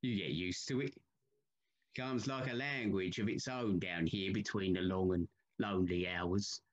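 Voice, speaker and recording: Haunted lonely signalman, lowish voice, terse n wary, victorian radio play. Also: steady tone, measured pace, dull audio. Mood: resigned, guarded. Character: guarded, haunted, solitary Victorian railway signalman. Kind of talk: audio drama acting.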